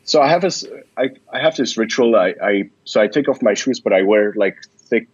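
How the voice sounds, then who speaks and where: A man from Switzerland, answering interview questions in a relaxed, thoughtful way with frequent restarts. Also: on the low side, brisk, slightly rough, no echo, muffled recording. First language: Swiss German. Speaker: guest. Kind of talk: podcast interview.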